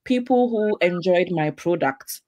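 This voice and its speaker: A middle-aged woman from Ghana, hosting a casual live chat, chatty and quick to laugh. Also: lowish voice, animated, a little mellow, no room sound. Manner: chatty, laughs easily, repeats phrases. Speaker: host.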